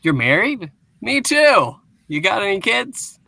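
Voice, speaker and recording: A man plays a doubtful family-man superhero in a comic book reading. He talks slowly in a bright, forward voice, casual and grumbling, recorded close and dry. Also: high voice, very animated, vocal fry, no room sound, exaggerated voice. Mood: doubtful, curious.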